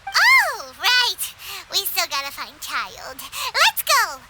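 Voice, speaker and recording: A woman's voice: extremely high pitch, huge pitch swings, takes it slowly, heavy background noise, exaggerated voice. A woman voicing a small, chirpy female companion creature in a game cutscene who talks about herself in the third person. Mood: urgent.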